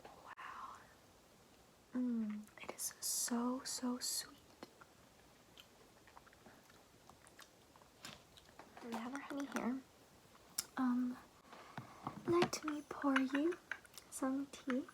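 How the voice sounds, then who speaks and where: A woman voicing a chatty, doting grandmother in a soothing, breathy ASMR roleplay whisper, rambling in a folksy way. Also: high voice, steady tone, extremely slow, pauses often, noisy background. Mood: soothing, caring.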